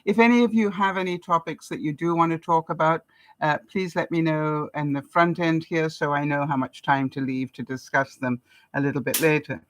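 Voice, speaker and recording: An older woman from Canada with a stuffed-up nose, hosting a casual live-stream chat and often pausing with ums and small laughs. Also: low voice, steady tone, no room sound. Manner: chatty, with frequent ums and soft laughs. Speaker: vlogger hosting a live broadcast.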